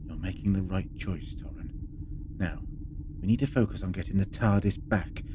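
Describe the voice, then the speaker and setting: Eccentric, quick-witted time traveller played by a man, lowish pitch, musing delivery, sci-fi audio drama, clearly noisy track. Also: close to an everyday voice. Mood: focused, musing. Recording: noisy with low rumbling hum.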